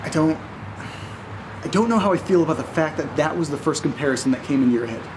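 Man acting fast-talking, sarcastic, anxious guy. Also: fairly high pitch, lively intonation, noisy background, natural voice. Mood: exasperated. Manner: sarcastic, exasperated. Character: anxious, sarcastic young man arguing with his friend. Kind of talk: casual naturalistic audio drama dialogue.

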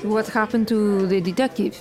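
A woman's voice: medium pitch, steady tone, normal speed, darkish tone, soft background music, natural voice. A woman playing a grand, imperious, theatrical producer in an audio fiction drama. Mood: grand, delighted.

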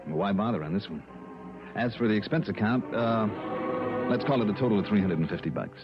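An actor playing a cool, unflappable private investigator with a wry manner in a sixties radio drama. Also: male voice, fairly low pitch, expressive, close to an everyday voice. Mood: wry, upbeat. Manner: wry, unhurried. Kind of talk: 1960s radio drama acting. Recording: dull old radio broadcast with music.

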